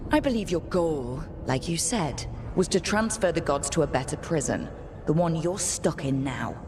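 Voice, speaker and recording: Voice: medium pitch, expressive, normal speed, noisy background, natural voice. An actor voicing a resolute, plain-spoken young hero who leads a resistance in a fantasy video game. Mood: defiant. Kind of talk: video game cutscene acting.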